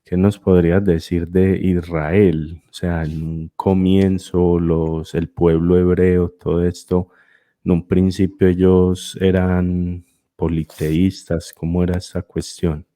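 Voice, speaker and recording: A man's voice: low voice, little pitch movement, slow, warm tone, dry recording. A male podcast host giving brief acknowledgments and asking long, rambling questions. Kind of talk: casual interview questions.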